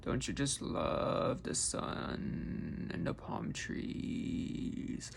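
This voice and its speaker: A man voicing a laid-back young male Californian beach dude, in a slow drawl full of vocal fry. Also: a little hiss or hum. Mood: dreamy.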